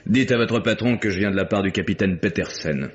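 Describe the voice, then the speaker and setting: Guy acting a sardonic private eye in an old radio play, lowish voice, muffled hissy recording. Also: fairly quick, close to an everyday voice. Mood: cool, insolent. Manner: dry, sardonic, insolent. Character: wry, unflappable male private detective. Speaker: actor in a radio mystery. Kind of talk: French detective radio drama acting. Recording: dull, noisy vintage radio recording.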